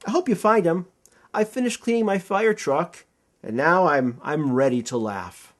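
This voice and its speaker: A man's voice: a little high, expressive, moderate pace. A man voicing a hearty, hardworking, friendly fireman in a children's storybook. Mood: friendly, hopeful. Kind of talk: children's storybook read aloud.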